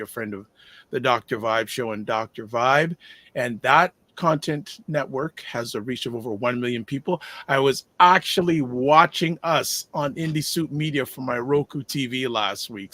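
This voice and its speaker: A man hosting a casual online morning show, upbeat, reading sponsor details slowly and carefully. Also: mid-range voice, a bit bright, dry recording. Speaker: podcast host.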